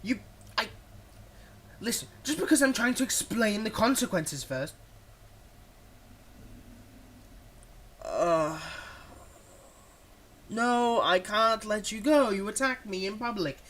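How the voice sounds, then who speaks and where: A performer playing a theatrical supervillain, menacing yet exasperated and softhearted, in a one-sided ASMR roleplay spoken straight to the listener. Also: medium pitch, animated, unhurried, long pauses. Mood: indignant, menacing. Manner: dramatic, swinging between threats and hushed soothing.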